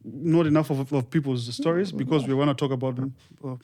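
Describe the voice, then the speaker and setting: A man's voice: mid-range voice, brisk, dark tone. A man with a Malawian accent co-hosting a podcast, chatty and self-deprecating, switching between English and a local language. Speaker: podcast co-host. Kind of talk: casual podcast chat.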